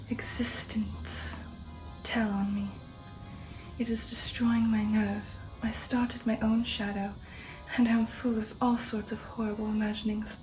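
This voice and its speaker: A woman with a fairly high, fairly even voice reads a gothic story in soft ASMR style. Her delivery is hushed and deliberate, often dropping into a whisper, with music clearly playing behind her. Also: poor audio. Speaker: ASMR storyteller reading a classic horror novel. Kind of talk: soft ASMR audiobook narration.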